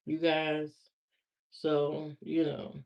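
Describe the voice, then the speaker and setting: A woman's voice: sits low, completely flat delivery, extremely slow, creaky. A woman from Indiana chatting casually on a livestream, answering viewer comments and repeating herself as she talks back to them. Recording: slightly muffled lo-fi stream audio.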